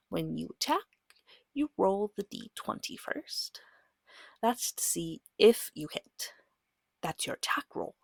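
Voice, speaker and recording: Soft breathy woman whispering ASMR guidance. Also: mid-range voice, no echo. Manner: gentle, patient, reassuring, short measured phrases. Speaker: guide teaching a beginner tabletop game. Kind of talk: soft-spoken ASMR, slow guided explanation.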